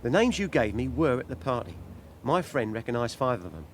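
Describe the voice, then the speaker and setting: Male actor as small-time police informant, guarded, haggling, animated pitch, radio drama, background noise. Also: a little fast, close to an everyday voice. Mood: confiding. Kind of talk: British radio crime drama acting. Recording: clear background ambience noise.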